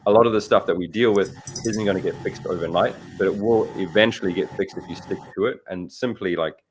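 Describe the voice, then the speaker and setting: A man from Africa, a fitness coach chatting on a radio show over a video call, brisk and encouraging. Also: sits low. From South Africa. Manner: brisk, encouraging, says "hundred percent" often. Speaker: fitness and nutrition coach guest.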